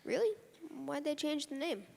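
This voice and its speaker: A woman as an earnest, high-voiced comic boy. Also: animated, moderate pace, gravelly, a little mellow. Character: earnest, boyish radio performer, half of a comic duo. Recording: reverberant hall.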